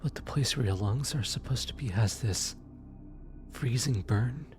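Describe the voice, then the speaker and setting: A man playing a gentle, apologetic demon who comforts lost souls in an ASMR roleplay, speaking softly and hesitantly. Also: mid-range voice, measured pace, faint music behind, lightly acted. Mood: comforting, indignant. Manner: soft, soothing, hesitant with stammers. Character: gentle, apologetic male demon soul collector comforting the newly dead. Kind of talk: ASMR roleplay audio drama.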